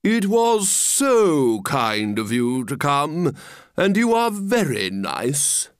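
A man voicing a pompous, greedy walrus from a storybook poem, talking in a slow, grandiose way with false sympathy. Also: fairly high pitch, big pitch swings, smooth, dry recording, clean studio sound. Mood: smugly genial. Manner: slow, drawn-out, grandiose delivery. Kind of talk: storybook verse character reading.

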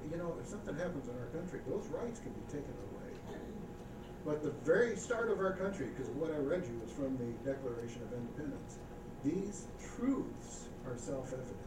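Brief: male pastor, somewhat high voice, mid-sermon, picked up from a distance in a reverberant church with audible noise. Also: lively intonation, fairly quick. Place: church sanctuary. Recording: echoey church recording from far off with background noise.

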